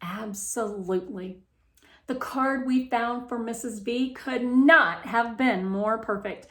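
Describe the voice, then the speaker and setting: A woman's voice: fairly high pitch, takes it slowly, fairly bright tone. Woman reading aloud in an echoey room. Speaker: read-aloud reader. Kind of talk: expressive children's novel read-aloud.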